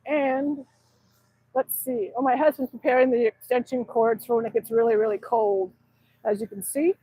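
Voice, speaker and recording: A woman's voice: on the high side, fairly even intonation, moderate pace, dark tone, husky, poor audio. A middle-aged woman from Alaska, chatting casually on a livestream outdoors in the snow and reading out viewer comments. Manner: chatty, reads out chat messages and answers them. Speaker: livestream host.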